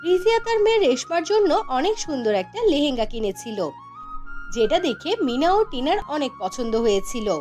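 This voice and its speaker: A woman's voice: sits high, big pitch swings, normal speed, a little mellow. A woman narrating a scripted fairy tale for a cartoon, as a storyteller. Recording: music behind the voice. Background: background music.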